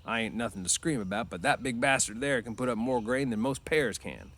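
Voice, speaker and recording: A man reading aloud, voicing George, a wary, protective young male farmhand with plain, rough speech. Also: medium pitch, brisk, fairly bright tone, close to an everyday voice. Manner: plain, guarded, rough-spoken.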